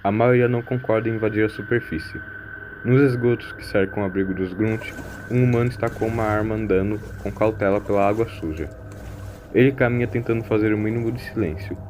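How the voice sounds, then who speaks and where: A man's voice: fairly low pitch, fairly even intonation, fairly quick, noisy background. A man narrating a scripted science-fiction audio drama as its storyteller.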